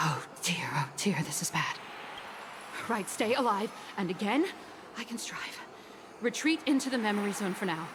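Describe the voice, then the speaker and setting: Woman acting as sneaky anxious thief, breathy, hushed, animated pitch, game dialogue, clear background noise. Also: normal speed, close to an everyday voice. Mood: panicked. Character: flustered sneaky female thief, scheming to herself. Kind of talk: video game character acting.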